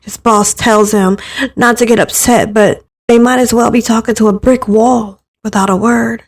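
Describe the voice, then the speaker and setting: A woman's voice: on the high side, fairly even intonation, moderate pace, slightly rough. A woman reading scripted first-person narration for a dramatic visual novel story.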